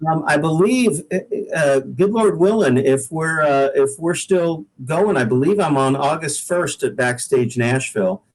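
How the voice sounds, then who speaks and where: A man's voice: on the high side, expressive, a little slow, few pauses, a little mellow, low-quality recording. An American man being interviewed as a guest musician. He takes his time and talks in an earnest, easygoing way, as if thinking through what his work is for. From Pacific Northwest, United States. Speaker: guest singer-songwriter. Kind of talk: casual interview chat.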